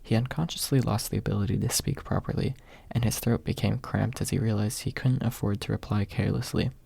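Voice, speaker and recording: A man narrating an ASMR audiobook in a hushed, husky voice, close to the microphone. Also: fairly low pitch, steady tone, brisk, no echo. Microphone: close microphone, dry.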